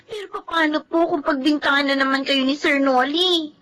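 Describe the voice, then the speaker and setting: A woman's voice: high-pitched, steady tone, moderate pace, a bit bright. A woman playing a concerned young daughter. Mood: protective. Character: caring young daughter of a housemaid. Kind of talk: radio drama acting.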